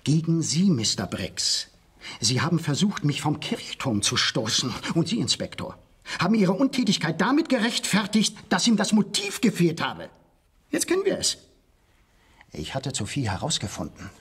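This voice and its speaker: Outraged gentleman, higher-pitched, very husky, formal, accusing, radio thriller. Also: huge pitch swings, moderate pace, full character voice. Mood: indignant, accusing. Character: indignant male hotel guest accusing villagers of attempted murder. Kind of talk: German radio crime play acting.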